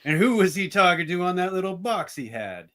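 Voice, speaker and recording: Man playing sharp, high-voiced hot-headed deputy. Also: very animated, a little fast. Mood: belligerent. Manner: belligerent, insistent. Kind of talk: amateur radio theatre acting.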